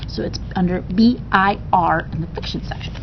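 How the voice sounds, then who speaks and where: A woman reading a children's novel aloud with animated expression, occasionally stumbling and rereading a word. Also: moderate pace. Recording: dull sound with faint noise, rumble.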